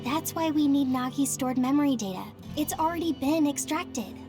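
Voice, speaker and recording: Actress as young anime game soldier girl, high voice, determined, heartfelt, loyal. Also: normal speed, over music, close to an everyday voice. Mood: resolute. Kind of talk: anime-style video game cutscene acting.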